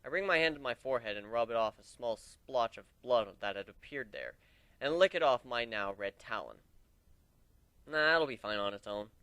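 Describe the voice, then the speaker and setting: A man's voice: mid-range voice, big pitch swings, fairly quick, a bit bright, no echo. A man narrating a first-person fantasy story as an amateur dramatic reading.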